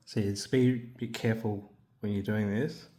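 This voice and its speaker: A man narrating a hands-on assembly tutorial in a casual, careful, unscripted way, pausing as he works. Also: on the low side, fairly even intonation, warm tone. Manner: unscripted, careful, halting while working with hands. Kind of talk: casual step-by-step instructional narration. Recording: background noise with clicks and handling sounds.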